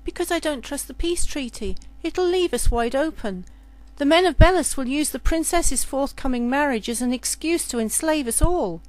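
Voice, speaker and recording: A woman reader puts on a young servant girl for a storybook adventure. The voice sits high, with a pleading edge, and she is defiant and quick with her answers. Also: expressive, a little mellow, rough recording. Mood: wary, insistent.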